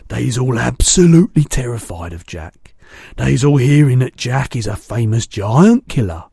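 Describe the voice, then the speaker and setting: A man voicing a friendly, gentle giant from a storybook who explains things furtively in muddled grammar. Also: mid-range voice, animated, moderate pace, vocal fry, breathy edge, no room sound, clean studio sound, pitched above the performer's own voice. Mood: earnest explaining. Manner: hushed explaining, odd broken grammar.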